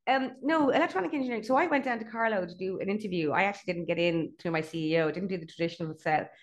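Fast-talking low-voiced Irish woman. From Ireland (Dublin). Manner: chatty, fast, laughs between points. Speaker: guest, company CEO. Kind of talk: casual interview chat.

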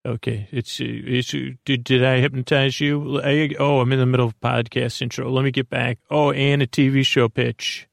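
A man with a creaky voice hosting a sleep podcast in a meandering, halting monologue, often interrupting himself. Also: mid-range voice, little pitch movement, no room sound, clean studio sound.

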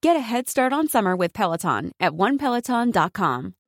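A woman's voice: medium pitch, very animated, brisk, dry recording, clean studio sound. A woman delivering a scripted advertisement voice-over for a podcast spot.

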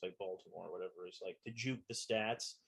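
A man's voice: low-pitched, fairly even intonation, fast talker, vocal fry, fairly bright tone, slightly rough. Man hedging with lots of "you know". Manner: hedging, filler-heavy talk with many "you know"s. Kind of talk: casual chat about a TV show.

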